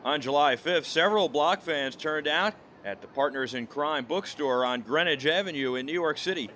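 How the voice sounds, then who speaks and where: A man's voice: on the high side, moderate pace, pushed and bright, a little hiss or hum. An American man narrating a scripted video report as a reporter.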